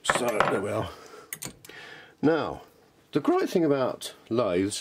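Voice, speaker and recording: A British man giving a casual, unscripted how-to demonstration in a rambling, self-deprecating, chatty way. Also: lowish voice. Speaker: hobbyist maker presenting a workshop tutorial. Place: home workshop indoors. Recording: clear background noise and clicks in a workshop.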